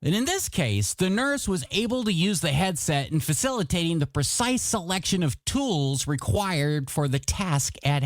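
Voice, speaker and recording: A man's voice: on the high side, no room sound, studio quality. A man hosting a radio show as a DJ, with showy, playful announcer patter and music playing behind him. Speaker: radio DJ host. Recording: music bed under the voice.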